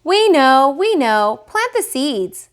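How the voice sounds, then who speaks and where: A woman voicing eager, excitable young rabbit children from a storybook, in squeaky exclamations. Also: takes it slowly, exaggerated voice.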